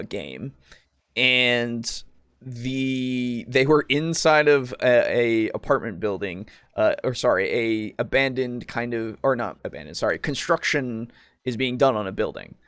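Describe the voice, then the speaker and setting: A man co-hosting a podcast, talking casually about tabletop roleplaying in a halting, rambling way with frequent swearing. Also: medium pitch, fairly bright tone, clear, resonant tone, no room sound. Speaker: podcast co-host.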